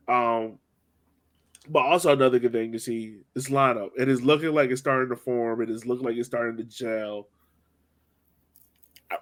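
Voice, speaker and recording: A man hosting a sports podcast, chatting informally and slangily as a fan. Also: medium pitch. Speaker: podcast host. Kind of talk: casual sports chat.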